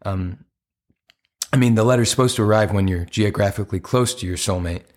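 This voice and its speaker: A man's voice: fairly low pitch, brisk, lightly acted. An actor playing an earnest, apologetic romantic man in an audio play, with a hesitant, conversational delivery. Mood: earnest, hopeful.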